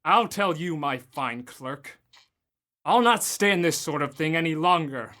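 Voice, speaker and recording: A man's voice: a little high, lively intonation, unhurried, bright, forceful tone. Scrooge, a man playing a jolly reformed old miser. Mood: jovial. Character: old male miser turned joyful and generous. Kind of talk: amateur radio drama acting.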